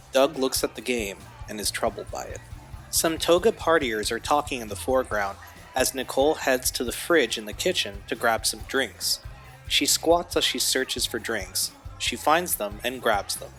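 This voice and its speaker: A man's voice: medium pitch, fairly bright tone, natural voice, low-quality recording. A man narrating an audio drama, reading scene directions as scripted narration.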